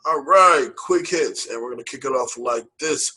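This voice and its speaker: A man co-hosting a casual movie podcast, with a bright, forward voice and a breathy, husky edge. He talks loosely and with hype, repeating phrases and dropping slang. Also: mid-range voice, moderate pace. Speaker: podcast co-host. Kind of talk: casual movie-review chat.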